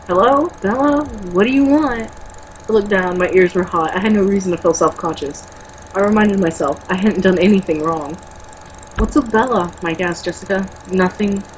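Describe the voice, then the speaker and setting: Young woman, mid-pitched, exasperated and sarcastic book reader; casual, sweary, faint noise on a homemade recording. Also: rough recording. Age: young adult. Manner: sarcastic, exasperated, foul-mouthed. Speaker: co-host reading a book aloud with mocking commentary. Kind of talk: casual read-aloud with exasperated commentary.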